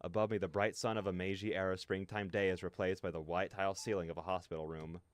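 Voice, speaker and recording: Low-voiced man streaming a game. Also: flat delivery, fast. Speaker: streamer reading a visual novel aloud. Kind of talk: dramatic read-aloud narration with casual reactions.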